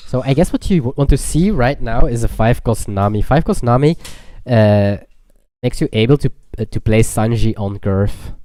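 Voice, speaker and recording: A man casually commentating a card game tournament stream, explaining plays in relaxed gamer slang. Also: mid-range voice, moderate pace, no room sound.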